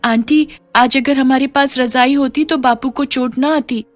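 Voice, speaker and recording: An innocent young girl from a poor household, voiced by a woman for a cartoon tale. Her voice is high, childlike and plaintive, with earnest worry in it. Music plays faintly underneath. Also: steady tone, a little slow. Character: innocent, worried young daughter of a poor family.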